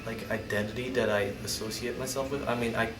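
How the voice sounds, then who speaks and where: A man's voice: medium pitch, fairly even intonation, brisk, over music. A young man from Indonesia telling his own story in an interview, hesitant, often restarting and searching for words. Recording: roomy, distant mic, ambient noise.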